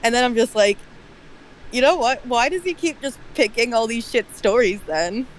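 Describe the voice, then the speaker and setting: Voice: mid-range voice, animated, normal speed, crowd noise, low-quality recording. A person chatting casually on a livestream in a wry, conversational way.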